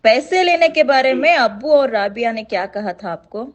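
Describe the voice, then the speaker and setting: A woman's voice: a little high, expressive, moderate pace. A woman on a recorded phone call, speaking deferentially as she questions and acknowledges the other person. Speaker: caller asking questions.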